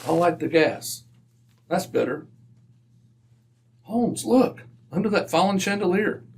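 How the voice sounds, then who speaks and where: An actor playing a puzzled, excitable British gentleman sidekick in an old-time radio mystery, exclaiming in bewilderment. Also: high-pitched, slow, creaky, a little hiss or hum, full character voice. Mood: alarmed.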